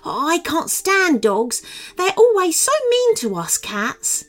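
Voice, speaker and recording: Woman doing a sharp grumpy tomcat. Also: extremely high pitch, sing-song, slow, faint music behind, pitched above the performer's own voice, full character voice. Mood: exasperated. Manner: exasperated, sharp. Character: quick sharp-tongued male street cat, grumpy.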